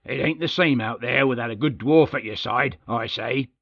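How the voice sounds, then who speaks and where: A man voicing a gruff, hearty male dwarf king in an audiobook, speaking in a folksy dwarvish dialect, jovial and bossy. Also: medium pitch, normal speed, no room sound, highs cut off. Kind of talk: audiobook character voice.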